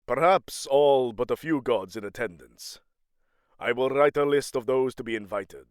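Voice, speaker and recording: A man's voice: medium pitch, moderate pace, clear, resonant tone, dry recording, clean studio sound, lightly acted. An actor playing a proud, aging king of the Norse gods in a fantasy audio drama, grandiose and commanding. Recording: music under the voice.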